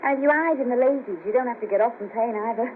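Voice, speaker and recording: Brash, friendly Merseyside sex worker, female, played by an actress, high and blunt, 1970s radio drama, patchy thin tape sound. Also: very fast, a little hiss or hum, natural voice. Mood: chatty, friendly. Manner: bawdy, chatty, blunt. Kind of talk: 1970s radio play acting. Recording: patchy narrow-band cassette of 1970s radio broadcast.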